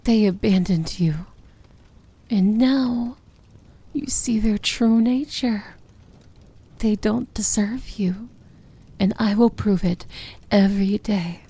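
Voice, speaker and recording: A woman acts out a powerful evil princess in a romantic fantasy ASMR roleplay. Her voice is breathy and mellow, often slipping into whispers, with a crackle of fry. She speaks intimately, possessive and commanding yet tender. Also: mid-range voice, slow pace, pauses often. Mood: fierce, possessive.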